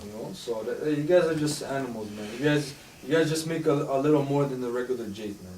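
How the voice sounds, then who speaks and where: A man's voice: mid-range voice, a little fast, darkish tone. A man in a casual religious discussion, emphatic and forever tacking "man" onto his sentences. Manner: emphatic, repeats "man" often. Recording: echoey room from far off with faint noise.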